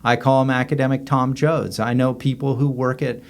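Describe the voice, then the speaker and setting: A man's voice: medium pitch, level tone, a little fast, gravelly. A middle-aged American man talking casually as an interview guest, telling stories in a blunt, opinionated way. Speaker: interview guest, author and former professor. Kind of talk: casual anecdotal interview talk.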